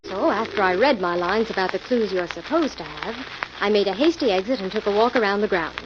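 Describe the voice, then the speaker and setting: A woman's voice: mid-range voice, lively intonation, fairly quick, close to an everyday voice. A woman playing an eager young assistant to a detective in a 1940s radio mystery, earnest and inquisitive. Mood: matter-of-fact. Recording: muffled, noisy vintage radio recording.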